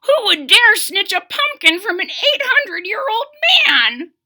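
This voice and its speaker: Woman acting out a loud, cranky ancient man. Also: extremely high pitch, big pitch swings, pitched above the performer's own voice, exaggerated voice. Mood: outraged. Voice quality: croaking, hollering. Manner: loud, exclaiming, drawn-out words. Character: cranky, impatient eight-hundred-year-old man craving pumpkin pie.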